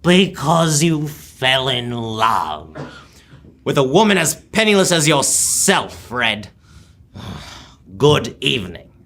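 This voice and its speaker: A man's voice: medium pitch, very animated, slow pace, a bit bright, heavily acted. A young man playing a bitter, miserly old businessman in a live radio drama, speaking in a curt, scornful, emphatic way. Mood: cold contempt. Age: teenager or young adult.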